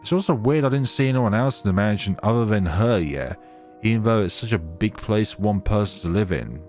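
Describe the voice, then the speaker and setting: A man's voice: on the low side, lively intonation, a little fast, darkish tone, soft background music. A man narrating a visual novel as a let's player, reading expressively and slipping into casual commentary.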